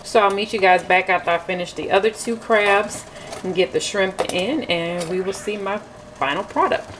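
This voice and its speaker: A woman's voice: medium pitch, moderate pace, noisy background. A woman from Florida chatting casually through a home cooking vlog, self-deprecating and full of fillers, recorded on a camera in her kitchen. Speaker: vlogger.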